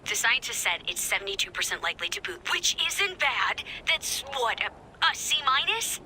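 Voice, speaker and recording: An actress playing an upbeat, quirky young resistance mechanic in a video game, talking in a chatty, eager, slightly hesitant way. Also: female voice, a little high, very animated, moderate pace, sharp tone, slight background noise, exaggerated voice. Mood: chatty, unsure. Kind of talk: video game mission dialogue.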